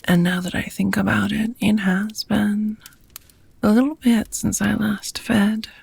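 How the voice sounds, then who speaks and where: A woman playing a seductive, doting vampire roommate in an intimate ASMR romance roleplay, whispering softly with teasing, formal endearments. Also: mid-range voice, little pitch movement, heavily acted. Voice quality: soft, breathy whispering. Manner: slow, sultry, formal wording with pet names.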